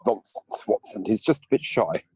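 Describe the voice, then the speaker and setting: A man playing a bewildered, blustering and rather dim gentleman in a comic amateur radio play. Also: fairly low pitch, lively intonation, moderate pace, slightly rough, low-quality recording. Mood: blithe.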